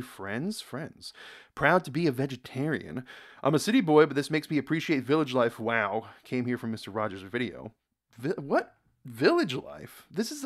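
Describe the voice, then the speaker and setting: Easygoing young man reacting on camera. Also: mid-range voice. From United States. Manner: rambling, repeats words, reads comments aloud. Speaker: reaction video host. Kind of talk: casual reaction commentary. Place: dim room indoors.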